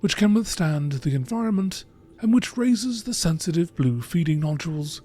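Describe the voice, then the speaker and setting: A man's voice: fairly high pitch, lively intonation, normal speed, mellow. A man reading scripted narration in the style of a nature documentary. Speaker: narrator reading game lore entries. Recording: clearly noisy background ambience.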